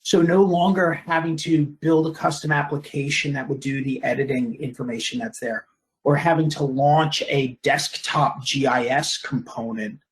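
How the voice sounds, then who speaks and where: A man presenting a software product in a webinar, explaining in a measured way with brief pauses. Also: mid-range voice, steady tone.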